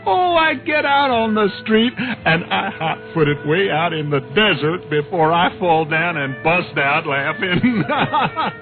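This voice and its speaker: A man's voice: high voice, animated, slow pace, few pauses, full character voice. An actor playing a gleeful, mocking hipster con man who drawls in hip slang, in the style of 1950s radio drama. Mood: gloating, laughing. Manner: hip slang, drawling, laughing at his victims. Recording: tinny old radio, music behind.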